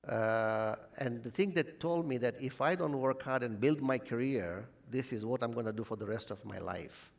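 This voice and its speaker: A middle-aged man from Tanzania, a hotel chief executive, telling his career story at a measured pace. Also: medium pitch, vocal fry. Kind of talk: panel answer. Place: conference hall.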